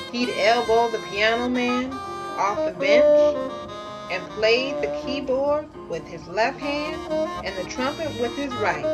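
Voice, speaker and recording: A woman reading a children's story aloud as host, expressive and dramatic, drawing out key words for emphasis. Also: on the high side, low-quality recording. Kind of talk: read-aloud children's picture book narration.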